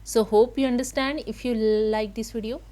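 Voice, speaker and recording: A woman giving a step-by-step software tutorial in halting, simple non-native English, with noise behind her. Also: on the high side, fairly even intonation. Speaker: tutorial host. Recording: noticeable background noise.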